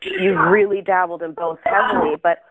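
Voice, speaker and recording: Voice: mid-range voice, moderate pace, very noisy. An interviewer hosting the show, speaking in a casual, effusive way and often repeating words as she gathers her thoughts. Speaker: interviewer, host.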